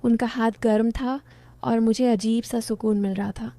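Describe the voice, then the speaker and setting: A woman narrating a first-person story in a steady storytelling tone. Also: a little high, moderate pace, dry recording.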